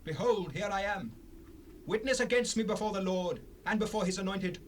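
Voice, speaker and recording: Scripture drama, old grey-headed prophet male actor: high voice, stern oratory, distant sound on a noisy cassette rip. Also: moderate pace, natural voice. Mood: solemn. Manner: solemn, declamatory, formal. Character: old grey-headed prophet, stern, righteous leader addressing his people.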